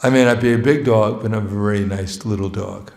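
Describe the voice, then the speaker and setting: A man's voice: lowish voice, fairly even intonation, fairly quick, vocal fry, a little mellow. A man giving an informal, joking sermon as a visiting monk, often chuckling between phrases and mocking himself. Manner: plainspoken, self-mocking. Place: temple hall. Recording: echoey hall.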